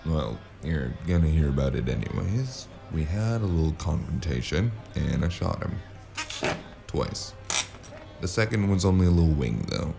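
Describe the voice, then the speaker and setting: A man playing a gruff, wary noir investigator in an audio drama, narrating and talking in a dry, hard-boiled, laconic way. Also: a bass voice, expressive, moderate pace, over music, heavily acted.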